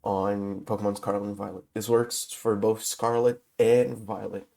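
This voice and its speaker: A man who is sick with a cold, talking through a casual game tutorial in English, his second language, with many pauses and filler sounds. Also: on the low side, little pitch movement, gravelly, a little mellow. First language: not English. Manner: halting, many filler uhs. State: has a cold. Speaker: gaming video host.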